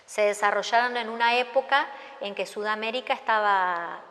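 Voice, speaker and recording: A woman with an Argentine accent explains things casually, like a specialist chatting. Her mid-pitched voice rings with the long reverb of a hall. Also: normal speed, a bit bright. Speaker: paleontology specialist.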